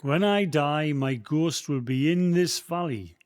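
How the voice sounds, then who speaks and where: An actor playing an old male ghost of the valley, speaking slowly and gently like someone reciting a poem. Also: a little high, lightly acted. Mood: solemn. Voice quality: slow, measured, poetic recitation. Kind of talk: community radio play acting.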